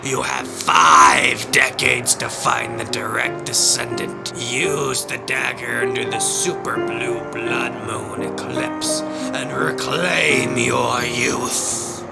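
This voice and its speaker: Gravelly, commanding demon. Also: male voice, medium pitch, steady tone. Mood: stern, imperious. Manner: slow, solemn, imperious. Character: commanding male demon granting youth at a price. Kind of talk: audio drama acting. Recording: voice under prominent background music. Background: music.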